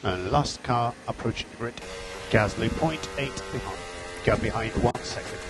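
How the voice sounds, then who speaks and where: Calm male race engineer on radio. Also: mid-range voice, normal speed. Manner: steady stream of gaps, times and instructions. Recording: team radio with music behind.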